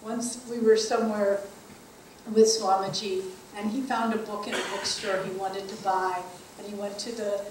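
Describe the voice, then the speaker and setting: A woman gives a spiritual talk in a measured, earnest way, drawing out the phrases that matter most. Her voice rings around a very echoey room and is picked up from some distance, with faint noise underneath. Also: mid-range voice, little pitch movement. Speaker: spiritual teacher.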